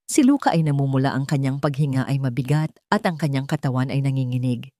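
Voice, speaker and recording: A woman's voice: very deep voice, fast talker, mellow, dry recording, studio quality. A woman with a Filipino accent reading a scripted, dramatic audio story as its narrator.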